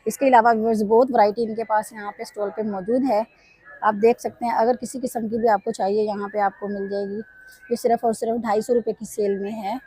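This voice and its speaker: A woman vlogger, hoarse from a sore throat, walking through market stalls and pitching bargains to her viewers. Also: flat delivery, a little fast, dark tone, no echo, low-quality recording. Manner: repeatedly addresses viewers, upbeat sales pitch. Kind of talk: casual walkthrough commentary. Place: busy weekly market stalls.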